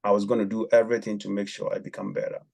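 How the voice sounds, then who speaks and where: A man with a somewhat low voice tells his story as a podcast guest. He speaks haltingly, filling pauses with frequent ums. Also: little pitch movement, creaky, over music. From Ghana. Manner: hesitant, many ums and pauses. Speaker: guest, former javelin athlete.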